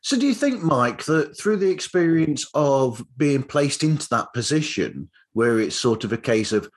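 A man's voice: medium pitch, big pitch swings, normal speed. A man hosting a casual interview, talking in a rambling, enthusiastic way. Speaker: podcast host interviewer.

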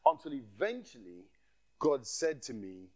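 A man's voice: medium pitch, sing-song, unhurried, a little mellow. A man from Nigeria preaching as a guest speaker, passionate, often repeating his phrases and laughing at himself. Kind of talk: sermon with personal testimony.

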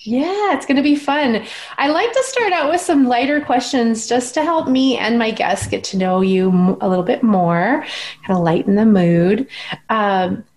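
A woman's voice: fairly high pitch, expressive, normal speed, a little mellow. A woman hosting an interview podcast in an informal, enthusiastic and affirming way. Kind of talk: casual interview chat.

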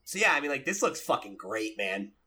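A man's voice: medium pitch, big pitch swings, brisk, bright, forceful tone, breathy edge. A young man hosting a casual chat about games and films, swearing freely and peppering his talk with "like" and "you know". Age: young adult. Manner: frequent "like" and "you know", profane. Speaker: podcast host.

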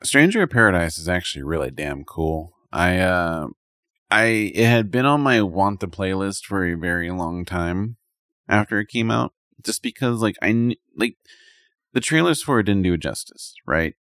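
Male podcast co-host, deep voice, dry recording, conversational and informal gamer chat. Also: lively intonation, moderate pace, fairly bright tone, dry recording. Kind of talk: casual gaming chat.

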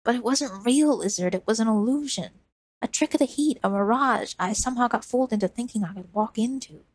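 Gravelly-soft and breathy, this is a dying old crone, as a woman reader puts her on, wheezing between coughs and sounding worn out. The voice is husky, close and dry, with no room around it. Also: on the high side, brisk, no room sound, pitched above the performer's own voice. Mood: regretful. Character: dying old woman wanderer, weary and remorseful.

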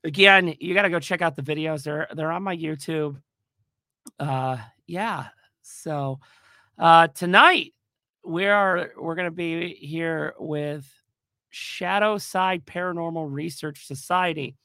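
A man hosting a livestream chat, hoarse from a cold, rambling with lots of fillers and restarts. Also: on the high side, slow, bright, forceful tone, no echo, studio quality. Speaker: podcast host. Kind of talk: casual livestream talk.

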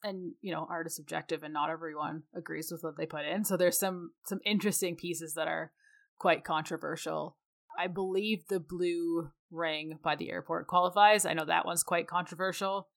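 Female podcast co-host, Canadian accent, lower-pitched voice, casual conversational explainer. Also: fairly even intonation, normal speed, vocal fry, a bit bright. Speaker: mechanical engineer.

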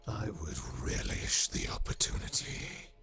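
An actor playing a coldly analytical military adjutant with a gravelly voice, precise and detached, in a sci-fi war drama. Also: male voice, low voice, expressive, a little slow. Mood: clinical. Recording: prominent music behind the voice.

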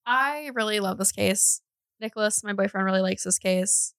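A woman's voice: medium pitch, normal speed, a bit bright, no echo, clean studio sound. A woman hosting a podcast, telling true crime stories in a casual, giggly, jokey and chatty way. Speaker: podcast host.

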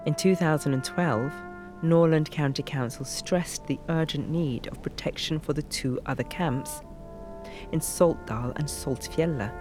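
A man reading scripted documentary narration in the role of narrator. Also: on the high side. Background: music with brass.

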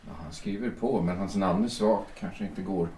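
A man's voice: deep voice, mellow, natural voice. A man playing a weary, pleading old schoolteacher. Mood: fretful. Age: older. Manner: pleading, apologetic, rambling half-asleep. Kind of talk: audio drama acting. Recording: roomy echo, distant mic.

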